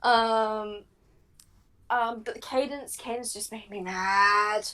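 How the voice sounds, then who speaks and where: A woman chatting casually as a panelist, halting and repeating words as she goes. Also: mid-range voice, steady tone, sharp tone, slight background noise. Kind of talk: casual chat.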